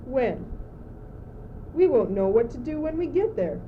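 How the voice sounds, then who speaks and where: A dim, anxious courtier, acted by a teenage girl in an amateur radio play. The voice is fairly high and plaintive. The sound is dull, with clear noise throughout. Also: sing-song, a little slow. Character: naive, anxious, dim male courtier who follows along. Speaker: student actor. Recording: dull, noisy old amateur recording.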